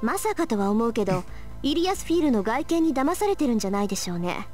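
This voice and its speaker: A proud, cool teenage girl from a visual novel. Her speech is high, very rapid and lively in pitch, brisk and assertive over soft music. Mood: cool, businesslike. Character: sharp, proud, businesslike teenage girl mage. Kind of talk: Japanese visual novel voice acting.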